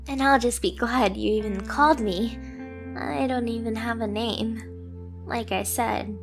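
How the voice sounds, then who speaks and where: A woman voicing a submissive, obsessively devoted stray cat girl in an anime ASMR roleplay, with a girlish, eager, self-deprecating manner. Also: on the high side. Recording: music behind the voice. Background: music.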